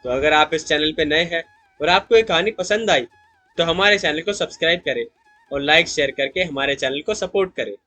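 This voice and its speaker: Bright narrator voice reading a kids moral story, mid pitch, music in the back. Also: fairly quick.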